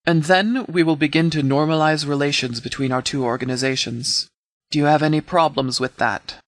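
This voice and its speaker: A man voicing a stern, authoritative sheriff in a video game, speaking in a measured, commanding, formal way. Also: a little high, steady tone, no echo, clean studio sound, close to an everyday voice. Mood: firm, formal. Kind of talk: scripted game dialogue.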